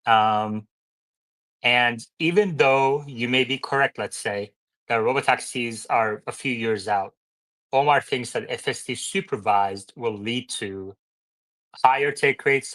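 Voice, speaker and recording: A man's voice: medium pitch, a bit bright, low-quality recording. A man hosting a live stream discussion, speaking informally and thinking aloud as he moderates. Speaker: host.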